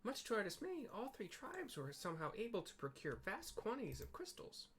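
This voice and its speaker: Man putting on an earnest high-voiced female leader. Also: wildly swooping pitch, brisk, fairly bright tone, a little hiss or hum, full character voice. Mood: grave, concerned. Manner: formal, archaic, careful diction.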